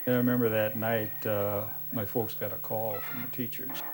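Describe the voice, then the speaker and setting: A man's voice: lowish voice, level tone, normal speed, gravelly, a little mellow, faint music behind. An older man with an American accent, casually reminiscing as an interviewee. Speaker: interviewee, hobby collector.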